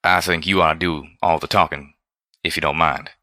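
A man's voice: a bass voice, fairly even intonation, moderate pace, sharp tone, no echo, clean studio sound. A man voicing a blunt, bashful male pegasus pony who talks in a folksy country drawl, for a storybook reading. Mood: puzzled.

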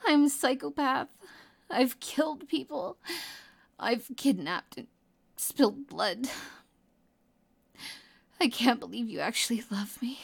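A woman playing a guilt-ridden, devoted and dangerous lover in an intimate roleplay audio drama, with a breathy, emotional delivery. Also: sits high, full character voice. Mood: tender, weepy. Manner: breathy, emotional delivery sliding between sobs and whispers. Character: devoted, guilt-ridden female psychopath lover, tearful and clingy.

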